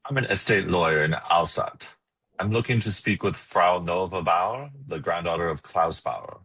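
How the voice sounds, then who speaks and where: Man playing a deep, husky, businesslike estate lawyer. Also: normal speed, a bit bright, full character voice, poor audio. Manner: matter-of-fact, formal. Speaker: actor reading at a script table read.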